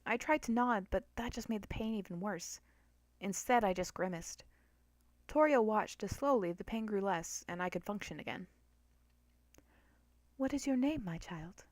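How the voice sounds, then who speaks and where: Woman narrator, mid-pitched, expressive dramatic storytelling, first-person fiction reading, very dry close sound. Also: moderate pace, no echo.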